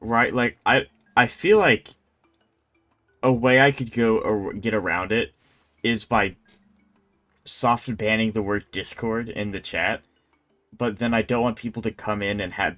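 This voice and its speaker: Guy streamer with a lowish voice, rambling casually over a game, kinda wry. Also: fairly even intonation, unhurried, sharp tone, faint music behind. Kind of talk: casual livestream chat and game commentary.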